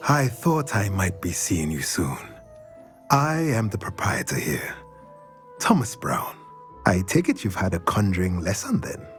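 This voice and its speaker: Low-voiced, animated magic shopkeeper. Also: male voice, measured pace, faint music behind, full character voice. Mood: welcoming, savoring. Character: courteous, enthusiastic male magic-shop proprietor. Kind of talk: video game character acting.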